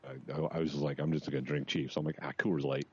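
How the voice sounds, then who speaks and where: Very deep-voiced man chatting casually. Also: level tone, fast, breathy edge, muffled recording. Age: late 30s. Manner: wry, profane storytelling. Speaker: podcast co-host. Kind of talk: casual chat, swearing anecdotes.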